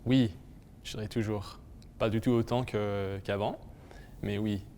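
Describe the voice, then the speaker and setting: A man giving a measured personal testimony, recounting a memory. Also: lowish voice, steady tone, warm tone. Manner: measured, storytelling. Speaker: interviewee. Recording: echoey room with faint music.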